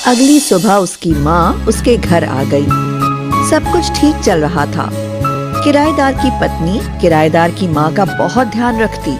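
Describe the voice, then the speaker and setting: Slow-paced woman storyteller. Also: fairly low pitch. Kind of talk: scripted horror story narration. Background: music.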